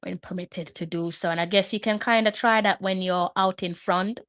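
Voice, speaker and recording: A woman's voice: lowish voice, fairly even intonation, normal speed, a bit bright, dry recording, clean studio sound. A woman hosting a live match watch-along, chatting and musing aloud as she reads up on players. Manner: chatty, muses aloud while reading up on players. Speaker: host.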